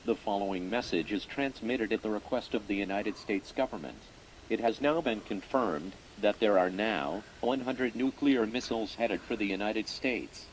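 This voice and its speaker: A man playing a stern government alert announcer, reading a scripted emergency message in a formal, deliberate way. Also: fairly low pitch, natural voice. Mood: stern, official. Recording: noisy broadcast-style recording.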